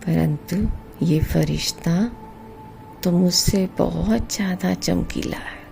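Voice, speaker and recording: Woman, guided meditation commentary, mellow and heavily creaky, hushed, unhurried, long pauses. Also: lowish voice, steady tone. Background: meditation music.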